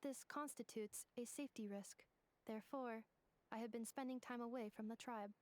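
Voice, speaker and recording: A woman voicing a polite, literal-minded female robot in a video game, with formal, clinical, measured phrasing. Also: on the high side, fairly even intonation, a little mellow, no echo, lightly acted.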